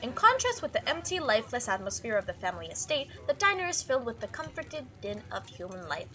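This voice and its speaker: A woman hosting a gaming video, narrating a visual novel with casual commentary in an expressive way. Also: on the high side, fast talker. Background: game music under the voice.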